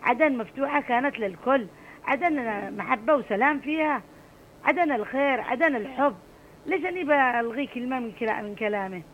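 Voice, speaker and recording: A woman's voice: high voice, animated, brisk, dull audio, a little hiss or hum. A middle-aged woman with an Adeni accent, calling in to a radio show and speaking passionately. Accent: Adeni Arabic. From Aden, Yemen. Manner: passionate, emphatic. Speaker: radio caller. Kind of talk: call-in radio chat.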